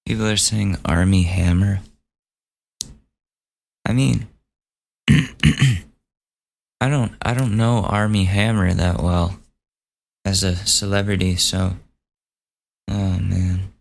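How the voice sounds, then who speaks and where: A man hosting a solo podcast, losing his voice and hoarse, casually reading out gossip items and swearing as he thinks aloud. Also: sits low, fairly even intonation, slow, pauses often. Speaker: podcast host.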